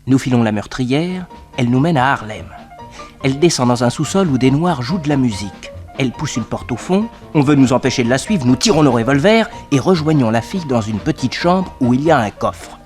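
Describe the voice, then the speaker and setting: An actor playing a detective's loyal assistant who narrates the adventure crisply, in the style of an old French radio serial. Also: male voice, medium pitch, lively intonation, a little fast, a little mellow, soft background music, close to an everyday voice. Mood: brisk narration.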